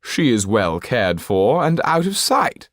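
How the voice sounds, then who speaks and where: A man's voice: mid-range voice, very animated, slow pace, no echo, clean studio sound, pitched above the performer's own voice. A man voicing a callous, pompous French marquis in an audiobook, speaking with drawling disdain and dismissiveness. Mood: cold disdain.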